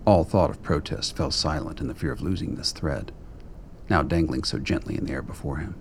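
A man's voice: rumbling low, fairly quick, vocal fry, slight background noise. A man reading scripted literary narration as the narrator of a radio play.

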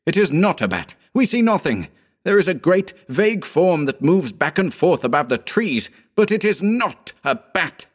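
A man voicing a frightened man who urgently shouts denials and pleads. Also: fairly high pitch, big pitch swings, moderate pace, pitched above the performer's own voice. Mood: desperate.

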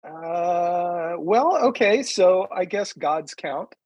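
A man guesting on a podcast, chatting casually in a halting, hesitant way. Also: sits high, lively intonation, darkish tone, no room sound. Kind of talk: casual chat. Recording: dull, band-limited audio.

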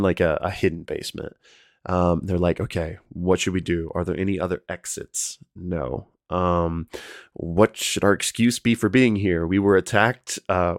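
A man's voice: deep voice, no room sound, studio quality. A young man co-hosting a fan podcast, recapping an episode in a laid-back, filler-heavy way while getting over a cold. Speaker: podcast co-host. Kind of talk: casual chat and episode recap.

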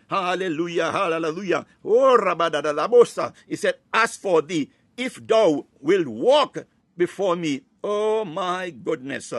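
High-pitched man preaching fervently. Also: a bit bright, dry recording. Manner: punctuates short phrases with repeated exclamations of praise; breaks into speaking in tongues. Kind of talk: fervent Pentecostal sermon with scripture exposition.

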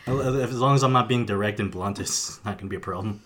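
A man's voice: on the low side, fast, a bit bright. A man co-hosting a casual podcast, talking in a blunt, joking, sweary way. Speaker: podcast co-host. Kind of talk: casual chat.

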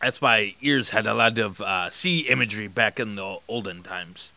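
A man's voice: fairly low pitch, normal speed, gravelly, sharp tone, no echo. A man co-hosting a casual anatomy podcast, mostly agreeable and rambling in his explanations. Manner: agreeable, rambling, defensive when challenged. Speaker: podcast co-host. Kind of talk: casual chat about anatomy.

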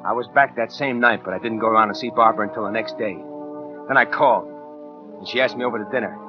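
An actor with an American accent playing a hard, rough killer in 1940s radio thriller style, with a husky voice. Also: male voice, on the low side, quick pace, over music, close to an everyday voice. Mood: calm narration. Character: cold-blooded male killer, hard and rough, courting a widow. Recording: dull vintage 1940s radio recording.

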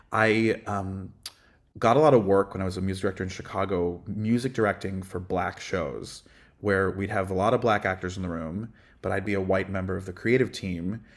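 Thoughtful man with a lowish voice. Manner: thoughtful, pauses with fillers. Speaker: guest, music director and voice teacher. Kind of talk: reflective conversational storytelling.

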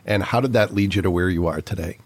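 A man hosting a marketing podcast, asking questions in a friendly, conversational way. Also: low-pitched. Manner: friendly, asks questions with quick agreeing replies. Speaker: podcast host. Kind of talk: conversational interview.

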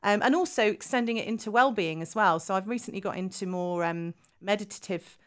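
A woman's voice: medium pitch, fairly quick. Woman, podcast host, singing teacher, friendly, earnest. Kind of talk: casual interview chat.

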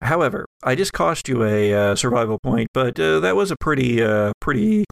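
Creaky-voiced man as game master. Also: mid-range voice, lively intonation, no echo. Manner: halting, thinking aloud as they narrate. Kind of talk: improvised narration of a tabletop horror game.

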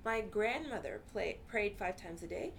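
A woman's voice: medium pitch, lively intonation, moderate pace, vocal fry, a little hiss or hum. A woman therapist whose first language is Urdu, talking earnestly in a casual interview and often saying "you know.".

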